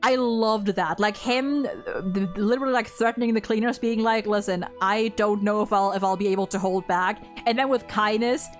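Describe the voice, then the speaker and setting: A woman in a casual, excited fan discussion, effusive and slangy, rambling with lots of repeated "likes". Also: mid-range voice, moderate pace, hardly any pauses, soft background music. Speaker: video host discussing a game.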